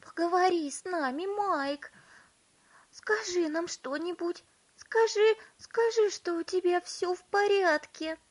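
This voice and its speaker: A woman voicing a frantic, anxious mother with a shrill, wailing delivery in an audiobook story. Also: takes it slowly.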